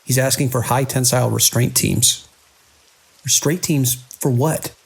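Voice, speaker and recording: A man playing a weary, alarmed hospital director in an audio drama, speaking grave, deliberate log entries in clipped sentences. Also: mid-range voice, steady tone, vocal fry, slightly rough. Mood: angry, fed up. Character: weary, alarmed hospital director recording logs during an outbreak.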